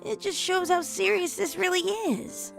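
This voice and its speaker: A woman's voice: extremely high pitch, measured pace, vocal fry, soft background music, pitched above the performer's own voice, heavily acted. A woman voicing a sleepy, loyal tomboy mare who speaks in a groggy, reassuring way in a story reading. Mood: quiet, comforting. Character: tomboyish female pony, sleepy, loyal and comforting.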